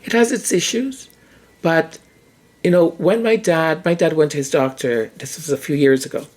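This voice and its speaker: A podcast host with a hoarse voice, talking through ideas in a casual monologue, often restarting and repeating words mid-thought. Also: medium pitch, moderate pace, darkish tone.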